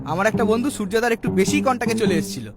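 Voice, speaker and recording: A man voicing a cheeky, excitable plateau in a cartoon, full of exclaiming banter. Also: high-pitched, racing, gravelly. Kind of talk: comic cartoon dialogue. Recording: music behind the voice.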